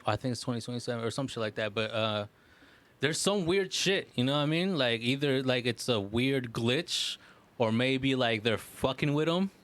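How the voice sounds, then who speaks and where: A man with a mid-pitched voice talks as a podcast co-host. His delivery is casual and excitable, like someone telling friends a story, with plenty of slang and swearing. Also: normal speed, no echo. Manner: excitable storytelling, slangy, profane, frequent "bro" and "like".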